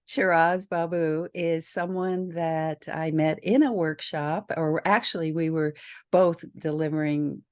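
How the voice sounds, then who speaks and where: An older woman, a psychologist, hosting a podcast interview in casual chat, laughing often. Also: low-pitched. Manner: slow, warm, laughs often.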